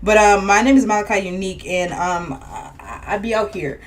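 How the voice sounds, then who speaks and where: A woman co-hosting a casual podcast, animated and quick to laugh, with a voice that turns raspy at times. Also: mid-range voice. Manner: animated, exclaiming, laughing often. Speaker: podcast co-host. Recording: distant mic, faint ambient noise.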